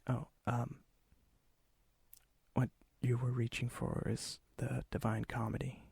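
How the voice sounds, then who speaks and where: A man playing a lonely, gentle, melancholy vampire in an ASMR roleplay, speaking softly and hesitantly, often near a whisper. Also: medium pitch, level tone, creaky, breathy edge, no room sound, exaggerated voice. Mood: cautioning, awkward. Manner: hesitant, wistful, halting. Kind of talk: ASMR roleplay, intimate acted monologue.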